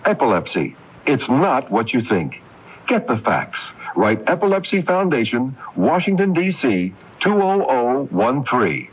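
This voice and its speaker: A man hosts a scripted public service spot as announcer and interviewer. His voice is husky and very varied in pitch. The broadcast sound is dull and muffled. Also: big pitch swings, measured pace. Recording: muffled AM radio recording.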